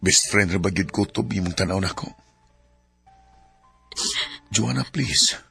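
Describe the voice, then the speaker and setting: A man's voice: low voice, unhurried, creaky, bright, forceful tone, breathy edge, faint music behind, heavily acted. A man playing a devoted male best friend in a radio drama, earnest and pleading. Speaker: best friend turned suitor.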